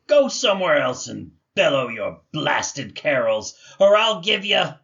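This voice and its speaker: A bitter old miser in an old radio play, gravelly and high for a man. He is scornful and bullying, and the recording is dull. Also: sing-song, unhurried, heavy background noise, full character voice. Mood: angry, bellowing. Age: older. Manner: barking, scornful, irascible. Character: grim, miserly, ill-tempered old man, a bullying employer. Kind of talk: vintage radio drama acting.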